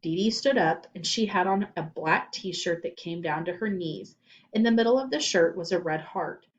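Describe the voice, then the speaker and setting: A woman storyteller reading a children's book aloud in animated scripted narration. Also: fairly low pitch, moderate pace, slight background noise.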